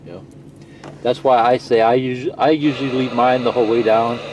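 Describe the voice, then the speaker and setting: A man talks through a piece of equipment in a casual, unscripted way, at an ordinary middle pitch. His manner is folksy and reassuring, with frequent little check-ins. The recording is clearly noisy, with static hiss behind him. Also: steady tone, brisk. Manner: folksy, reassuring, often checks in with "okay" and "you know". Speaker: presenter of a CB radio how-to video. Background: CB radio static hiss.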